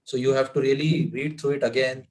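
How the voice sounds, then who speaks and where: A man on a video call sharing practical advice, talking through it with quick self-corrections. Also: medium pitch, fairly even intonation, a little mellow, rough recording. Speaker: club member. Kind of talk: online meeting discussion.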